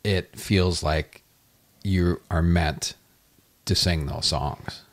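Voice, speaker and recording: Low-voiced male podcast host, enthusiastic. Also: fairly even intonation, extremely slow. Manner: enthusiastic, complimentary, rambling. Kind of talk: casual interview chat.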